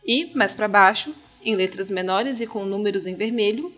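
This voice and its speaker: A woman's voice: mid-range voice, normal speed, fairly bright tone, faint music behind. A woman with a Brazilian accent presenting a podcast in scripted narration, articulate and opinionated.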